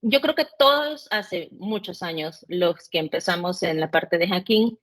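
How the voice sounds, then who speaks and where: A woman with a Salvadoran Spanish accent, in her thirties, talks through an interview as a guest. Her voice sits on the low side, and her chatty telling is full of fillers and tag questions. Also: lively intonation, a little slow. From born in Mexico, raised in El Salvador. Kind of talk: casual interview chat.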